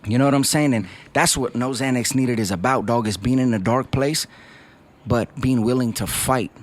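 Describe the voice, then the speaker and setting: A man's voice: medium pitch, normal speed. A man from West Covina, California, guesting as a rapper in an interview. He talks in an earnest, reflective way and keeps dropping in "bro" and "dog". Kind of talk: candid interview talk.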